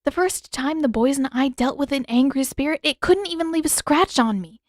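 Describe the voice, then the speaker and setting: A woman's voice: high-pitched, normal speed, dry recording, clean studio sound, pitched above the performer's own voice, natural voice. A woman voicing a feisty, stubborn teenage girl heroine in a fantasy audiobook, with emphatic, exasperated delivery. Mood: insistent.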